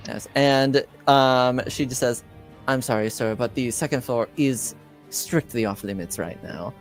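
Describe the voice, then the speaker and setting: A man running a tabletop game as game master, improvising narration and often pausing to think mid-sentence. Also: medium pitch, soft background music.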